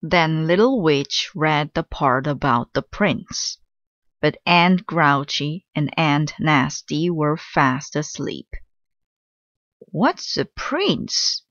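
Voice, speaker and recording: Female children's storyteller: lowish pitch, unhurried, expressive narration, dull-sounding close recording. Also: no echo. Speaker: read-aloud storyteller.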